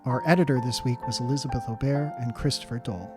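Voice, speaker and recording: An announcer reads scripted podcast credits at a middling pitch. Guitar music plays clearly behind the voice. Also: steady tone, fast.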